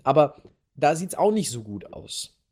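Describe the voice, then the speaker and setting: A man hosting a podcast, telling a history story casually and playfully in a halting, slightly husky voice. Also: a little high, very animated, a little mellow. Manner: halting, playful storyteller addressing co-host directly. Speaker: podcast host.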